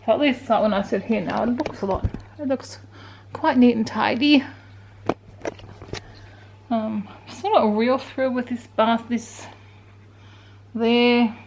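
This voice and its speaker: Tired, croaky Australian woman vlogging at home. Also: a little high, measured pace, pauses often, a little mellow, a little hiss or hum, poor audio. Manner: tired, rambling, self-talking. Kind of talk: casual home vlog. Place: indoors at home.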